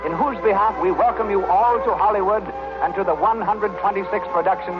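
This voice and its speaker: High-pitched 1930s American radio announcer man. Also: fairly quick. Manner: formal, declamatory showman delivery. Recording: vintage 1930s radio, dull, music behind.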